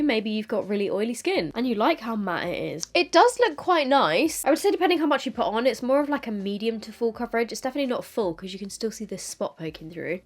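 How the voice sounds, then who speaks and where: Chatty woman with a stuffy cold. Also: a little high. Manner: chatty, self-deprecating, frank. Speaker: beauty vlogger. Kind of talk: casual makeup chat to camera.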